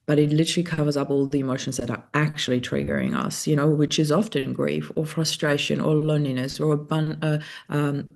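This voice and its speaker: Flat-voiced woman host, German native speaker. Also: sits low, fairly quick, vocal fry, few pauses. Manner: thoughtful, searching for words. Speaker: podcast host. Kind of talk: reflective conversational interview.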